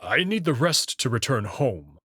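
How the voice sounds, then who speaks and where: A man's voice: mid-range voice, sing-song, a little slow, bright, forceful tone, no echo, studio quality, exaggerated voice. A man voicing a proud but guarded young male wolf alien prince in a visual novel, explaining himself earnestly and reluctantly. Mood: defensive, regretful. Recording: clear music behind the voice.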